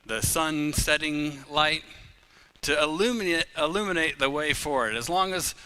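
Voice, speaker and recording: A middle-aged man who grew up in Uganda, preaching a live sermon as pastor, telling personal stories with humor and rising louder on key phrases. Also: a little high, moderate pace, smooth, poor audio.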